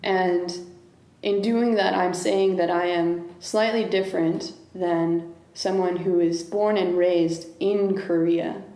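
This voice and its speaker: Low, gravelly woman, thoughtful. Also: fairly even intonation, takes it slowly, warm tone. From United States. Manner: thoughtful, searching for words, many restarts. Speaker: guest. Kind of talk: reflective interview conversation. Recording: echoey room.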